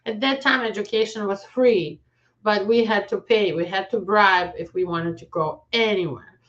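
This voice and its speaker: A woman's voice: medium pitch, moderate pace, fairly bright tone, lo-fi sound. A woman in her forties, an immigration lawyer from Ukraine, telling her own family story in an earnest, conversational way. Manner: earnest, conversational, with self-corrections. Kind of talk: personal story to camera.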